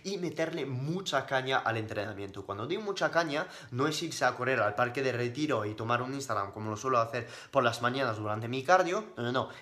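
A man's voice: mid-range voice, bright, forceful tone, slight background noise. A man answering questions casually on a livestream as a fitness coach, speaking bluntly and emphatically, with slang and repeated words for stress.

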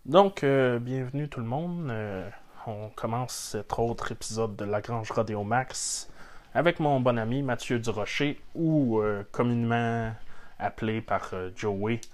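A man's voice: medium pitch, a little slow. A man with a Québécois accent hosting a podcast, talking casually with many hesitations and some teasing jokes. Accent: Québécois French. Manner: hesitant, full of fillers, joking. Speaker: podcast host. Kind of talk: casual interview chat.

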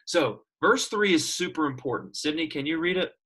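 Animated, slightly husky male Bible teacher. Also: fairly high pitch, normal speed. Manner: animated, asks students questions. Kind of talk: classroom Bible lesson.

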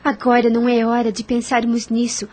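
An actress with a Brazilian accent playing a gentle, sensible woman who calms others in a radio drama. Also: a little high, fairly quick, close to an everyday voice. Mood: practical, encouraging. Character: gentle, sensible woman calming her frightened companions.

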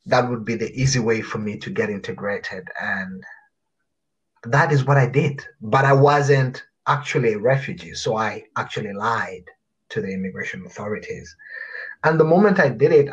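A man in his thirties from Uganda, telling his life story as a guest in an interview, reflective and pausing with fillers. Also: mid-range voice, husky, muffled recording. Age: 30s. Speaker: guest author.

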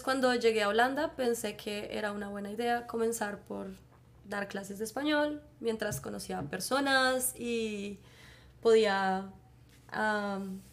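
A young adult woman from Colombia with a Bogotá accent, a podcast guest who talks at length in an easy, chatty way and laughs often. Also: fairly high pitch. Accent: Bogotá Colombian Spanish. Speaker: guest, Spanish teacher. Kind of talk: casual podcast interview.

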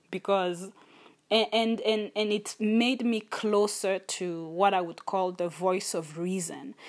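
Earnest young woman podcaster. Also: mid-range voice. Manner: earnest, thoughtful, openly personal. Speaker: podcast host. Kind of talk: reflective solo podcast talk.

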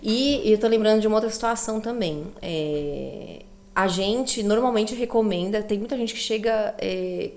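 A woman's voice: medium pitch, darkish tone. A woman guest on a podcast, chatting casually and explaining her consulting work, often tagging sentences with little checks to the listener. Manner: explanatory, chatty, frequent "né" and "tá" tags. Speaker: guest, co-founder of a baby layette consulting service.

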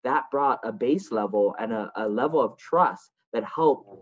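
A man's voice: mid-range voice, measured pace. A man from Hawaii, a state representative and former diplomat, answering interview questions in an earnest, upbeat way. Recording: dull lo-fi audio.

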